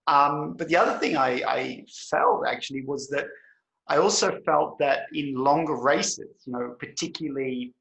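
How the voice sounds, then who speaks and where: An Australian man hosting a casual interview, his voice gravelly and a little rough. He asks long, winding questions full of restarts. The room around him is echoey. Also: a little high, animated, normal speed, a bit bright. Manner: rambling, restarting questions, joking. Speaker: podcast host.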